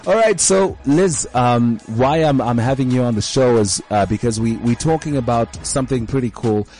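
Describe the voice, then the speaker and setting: A man's voice: mid-range voice, normal speed, darkish tone, faint music behind. A man hosting a casual interview show, rambling with many fillers and restarts. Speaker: show host.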